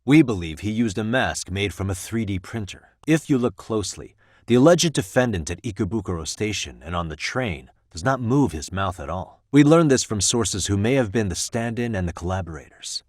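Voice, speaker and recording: A man acting as a composed, sharp-witted defense attorney in a video game, speaking in measured, articulate, formal courtroom lines with a probing insistence. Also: lowish voice, no echo, clean studio sound. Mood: composed, assertive. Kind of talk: scripted dramatic courtroom dialogue in a game.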